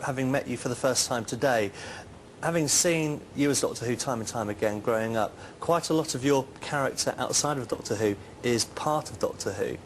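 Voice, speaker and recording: A young English man presenting live on a TV shopping channel, polite and brisk, taking calls and prompting his guest. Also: medium pitch. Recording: faint background noise.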